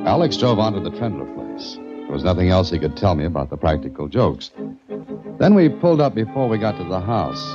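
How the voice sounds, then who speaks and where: A wry radio-drama private eye. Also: male voice, sits low, sing-song, fairly quick. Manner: dry, sardonic. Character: wry, wisecracking adult male private investigator. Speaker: private investigator narrator Dan Holliday. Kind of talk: 1940s radio drama acting and narration. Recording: muffled old radio broadcast with faint music.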